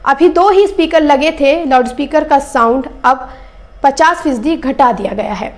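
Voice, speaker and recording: Female news presenter with a high voice, delivering scripted commentary straight to the audience. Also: measured pace. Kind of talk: scripted news commentary, addressing viewers directly.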